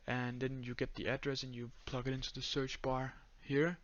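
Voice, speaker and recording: A young man with a Danish accent giving a step-by-step screen-recorded tutorial in a flat, monotone voice full of "uh"s and "um"s. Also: mid-range voice, moderate pace, dull audio. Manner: monotone, many fillers. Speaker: tutorial creator.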